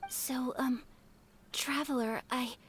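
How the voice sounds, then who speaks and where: A woman voicing a gentle, refined young noblewoman in a video game, speaking politely, softly and with earnest hesitation. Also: sits high, fairly even intonation, slow, a little hiss or hum. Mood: nervous, hesitant. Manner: polite, soft-spoken, hesitant. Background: game music.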